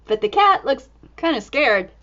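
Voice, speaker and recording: A woman reading a picture book aloud to young children in an expressive, exclaiming way, drawing out words and dropping into hushed asides. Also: high-pitched, unhurried, muffled recording, a little hiss or hum.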